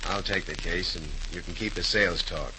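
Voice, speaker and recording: An actor playing a curt, slangy, impatient tough customer in a 1930s radio drama. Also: male voice, low voice, brisk, natural voice. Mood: brusque, impatient. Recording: noisy 1930s radio recording.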